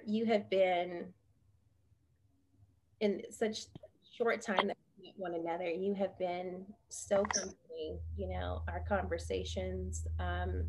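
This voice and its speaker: A woman's voice: lowish voice, slight background noise. Effusive woman podcast host. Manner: effusive, expressive, laughs easily. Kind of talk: casual panel chat.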